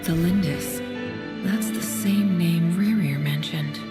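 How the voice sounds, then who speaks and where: A woman's voice: on the low side, takes it slowly, loud music behind the voice. A woman playing a curious, observant young traveler in a video game, thinking aloud to herself, with long reverb on her voice. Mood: pensive, curious. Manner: thoughtful, musing to herself. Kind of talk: video game cutscene voice acting.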